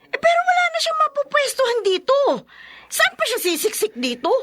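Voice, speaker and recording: An exasperated elder sister in a radio drama, bossy and protective. Her high voice swoops animatedly as she scolds and instructs. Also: big pitch swings, fairly quick, bright, forceful tone, slight background noise, exaggerated voice. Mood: indignant.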